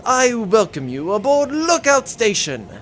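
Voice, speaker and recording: A man's voice: very high-pitched, wildly swooping pitch, unhurried, a bit bright, a little hiss or hum, heavily acted. A man playing a courtly young duke who leads space rebels, speaking formally and genteelly in a comedic audio drama. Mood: formal welcome.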